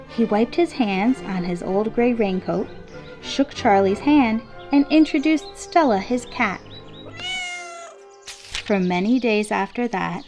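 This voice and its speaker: A woman reading a children's picture book aloud expressively, with measured, deliberate phrasing and emphasis on key words. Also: medium pitch, darkish tone. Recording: classical symphony music playing behind. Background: orchestral classical music.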